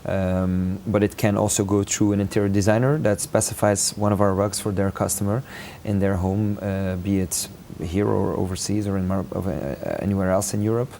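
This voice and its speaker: A man from Belgium speaks in a low, steady, mellow voice. He answers questions hesitantly, pausing on fillers and restarting phrases. A faint hum of noise sits behind him. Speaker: interview guest, rug maker. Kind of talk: casual interview answers.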